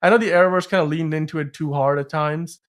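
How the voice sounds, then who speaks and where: A man's voice: on the high side, steady tone, fast talker. A man hosting a casual movie discussion podcast, talking in a wordy, circling way with plenty of hedging. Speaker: podcast host.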